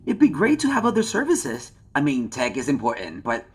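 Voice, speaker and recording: An actor playing a friendly male community manager who speaks in a gentle, encouraging way. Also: mid-range voice, huge pitch swings, brisk, slightly rough.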